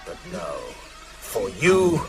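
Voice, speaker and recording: An actor playing an ancient, sadistic vampire master in a radio horror drama, slow, theatrical and menacing. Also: male voice, fairly low pitch, big pitch swings, over music. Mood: gloating menace.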